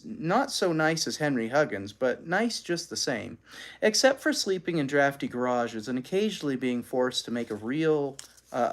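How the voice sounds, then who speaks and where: A children's book host with a very lively, swinging pitch reads aloud in a folksy, animated manner. The delivery moves easily between storytelling and plain, chatty explanation for young listeners.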